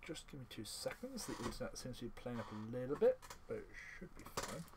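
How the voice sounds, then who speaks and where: A man hosts a casual podcast chat in a mid-pitched voice. He rambles in a jokey way, doubling back on his words, with some room noise behind him. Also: big pitch swings, brisk. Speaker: podcast co-host interviewing a friend. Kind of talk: casual, chatty interview.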